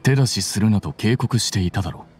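A man's voice: lowish voice, brisk, darkish tone, soft background music, lightly acted. A man voicing a cool, blunt young scholar in a Japanese video game, composed, matter-of-fact and aloof.

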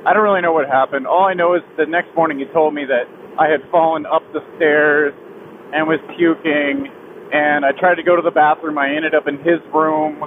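A young man riding a motorcycle on a highway, talking through a helmet mic in a halting, heavy-hearted confession that keeps restarting. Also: steady tone. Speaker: motovlogger. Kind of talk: personal confession while riding. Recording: tinny helmet mic with wind and road noise.

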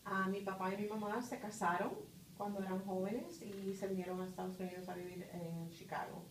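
A woman's voice: mid-range voice, level tone. Middle-aged woman interviewee, thoughtful, full of fillers; distant phone recording in a roomy office. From Puerto Rico. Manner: thoughtful, pauses with many "ah" and "este" fillers. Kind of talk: conversational interview answers. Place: office conference room. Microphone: far phone camera. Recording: roomy, distant, faint hiss.

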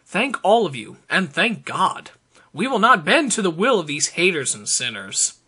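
A man giving an over-the-top dramatic reading, theatrical, emphatic and deliberate. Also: high voice, big pitch swings, pushed and bright, husky. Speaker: performer reading social media posts aloud.

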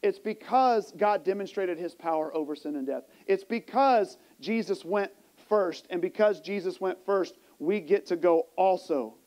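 Male preacher, 40s, high voice, emphatic sermon delivery shifting between soft and forceful phrases, clip-on mic in a church. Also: unhurried, hardly any pauses, lo-fi sound. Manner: emphatic, rhetorical questions, swings between hushed and raised delivery. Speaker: pastor. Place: church sanctuary stage, indoors. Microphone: small mic clipped to the chest.

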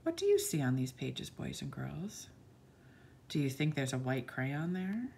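A woman reading a picture book aloud to young children, speaking to them directly in an expressive storytelling manner. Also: sits low, unhurried, creaky, slight background noise.

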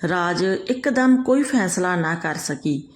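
A woman's voice: on the low side, expressive, slow. A woman reads a scripted story as a storyteller.

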